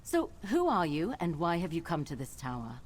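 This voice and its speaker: A woman's voice: on the low side, wildly swooping pitch, normal speed. A woman acting a haughty, commanding wizard noblewoman in a fantasy game, with an imperious, dry, formal delivery. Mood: haughty, warning.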